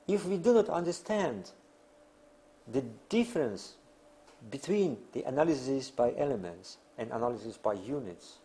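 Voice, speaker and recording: A man who is a non-native English speaker lecturing on theory in halting, deliberate phrases with emphatic pauses. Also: fairly high pitch, darkish tone. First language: not English. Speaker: academic lecturer.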